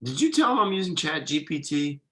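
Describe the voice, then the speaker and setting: An evasive, defensive husband and father, acted by a man at a table read. His delivery is conversational and halting. The voice is forward and bright, with very lively pitch movement. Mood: resigned. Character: defensive, evasive middle-class husband and father writing ad copy. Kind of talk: table read of a TV pilot script.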